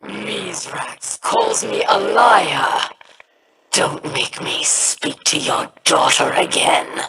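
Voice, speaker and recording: A vengeful alien warlord taunts with slow, biting words. Her pace is unhurried and deliberate. A clear hiss of noise runs under the game audio. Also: mid-range voice, animated, exaggerated voice. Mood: taunting. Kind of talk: video game voice acting.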